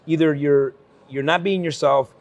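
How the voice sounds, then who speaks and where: A man in his forties from El Salvador, a guest speaking earnestly at an investment conference about his life, with frequent fillers and quick laughs. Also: fairly high pitch, fairly even intonation. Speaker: guest entrepreneur. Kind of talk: candid conversational storytelling.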